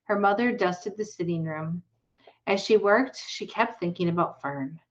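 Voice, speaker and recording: A woman's voice: fairly low pitch, measured pace, dull audio. Woman reading a storybook aloud. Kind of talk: read-aloud storybook narration.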